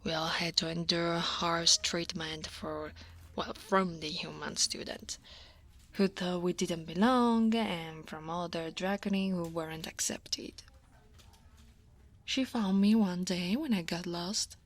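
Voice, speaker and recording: A woman from Italy, speaking with an Italian accent, voicing a weary and guarded female dragon office coworker. She talks in a soft, tired half-whisper close to the mic in an ASMR roleplay. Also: lowish voice, slow, exaggerated voice. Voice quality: breathy.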